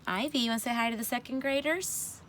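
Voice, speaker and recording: A woman's voice: a little high, fast, a bit bright, slight background noise. A woman reading a children's story aloud expressively, like a teacher addressing her class.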